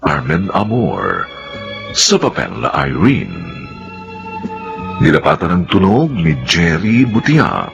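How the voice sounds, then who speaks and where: A man announcing radio drama credits in a formal, measured, scripted manner. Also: low-pitched, huge pitch swings, gravelly, lo-fi sound, over music.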